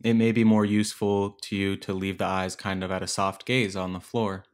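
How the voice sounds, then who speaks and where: Male meditation guide, fairly low voice, gentle instructional delivery with spacious pauses. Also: little pitch movement, smooth.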